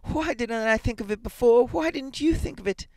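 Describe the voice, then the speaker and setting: Casting: man performing desperate old mother for a storybook tale, high strained cries, hysterical urgency. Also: steady tone, moderate pace, a little mellow, husky, no echo. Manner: wild, hysterical, breathless crying out. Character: frantic grieving old woman, feverish and desperate. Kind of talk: dramatic storybook character reading.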